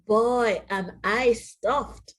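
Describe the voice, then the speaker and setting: A woman voicing a cheerful, eager father pig in a children's storybook, expressive and exclaiming. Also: on the high side, very slow. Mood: stuffed.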